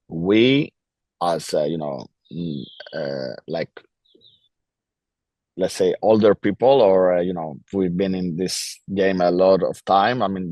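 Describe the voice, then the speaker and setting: Sex: man. Pitch: very deep. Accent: Greek. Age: 40s. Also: a little mellow, no echo. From Greece. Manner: earnest, reflective, searches for words. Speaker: DJ guest. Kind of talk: casual interview chat.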